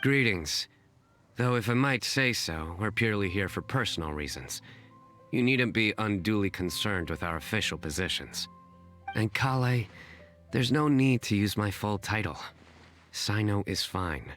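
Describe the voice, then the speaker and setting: A stern young official with a very low voice, dry and clipped in his formal speech. Faint game music sits behind him. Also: animated, measured pace, a bit bright. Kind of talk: game cutscene voice acting.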